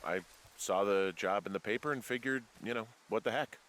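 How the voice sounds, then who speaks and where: A man's voice: fairly low pitch, moderate pace, fairly bright tone, lightly acted. A man playing a laid-back, middle-aged American fire lookout in a naturalistic video game, dry, understated and a little hesitant. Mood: low-key, casual.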